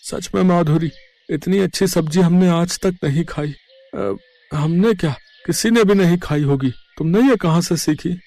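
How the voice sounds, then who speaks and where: A man's voice: a little high, moderate pace, darkish tone, no echo, close to an everyday voice. A man voicing a poor village farmer, an earnest, plaintive husband and father, in a moral story. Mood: impressed.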